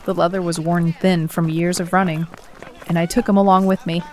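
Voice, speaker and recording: Woman as earnest runner, lower-pitched voice. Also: flat delivery, a little fast, lightly acted. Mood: reflective. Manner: earnest, reflective. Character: Cassie, earnest, reflective female runner telling her own story. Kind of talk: first-person story narration with acted dialogue.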